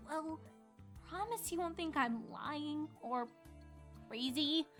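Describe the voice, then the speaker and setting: Woman voicing bright, high-pitched anxious young pony heroine. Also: takes it slowly, faint music behind. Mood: hesitant, earnest. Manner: hesitant, earnest, excitable. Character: young female pony princess student, anxious, earnest, easily flustered. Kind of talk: cartoon-style fan fiction dramatization.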